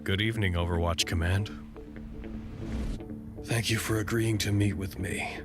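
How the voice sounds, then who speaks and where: An actor playing a composed, formal male negotiator in an audio drama, speaking in a deliberate, measured way. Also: on the low side, fairly even intonation, music in the background, close to an everyday voice. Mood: composed, resolute.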